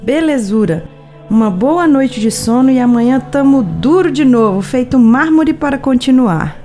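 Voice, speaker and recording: A woman's voice: high-pitched, animated, normal speed, dark tone, soft background music. A woman voicing the cheerful, motivating half of a brain in an audio drama, with a breezy, colloquial, reassuring manner. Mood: upbeat. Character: upbeat, motivating, soothing right half of a brain.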